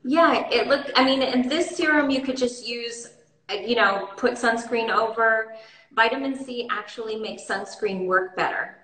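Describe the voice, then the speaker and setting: A woman skincare expert and host, explaining in a relaxed, casual way during a demo chat. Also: fairly high pitch, measured pace, reverberant, rough recording. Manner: relaxed, explaining with many fillers.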